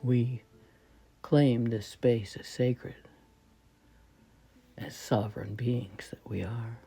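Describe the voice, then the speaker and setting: A woman leading a guided meditation in a soft, breathy voice that often falls toward a whisper, speaking reverently in short, measured phrases. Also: extremely low pitch, steady tone, faint music behind. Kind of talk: slow spoken guided meditation.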